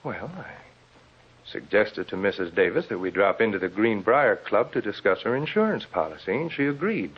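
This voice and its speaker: A muffled vintage radio recording of a nervous, evasive husband. His husky voice swings widely in pitch. He is hesitant, pleading and melodramatic, in the manner of a 1940s thriller. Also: sing-song, moderate pace. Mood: nervous, defensive.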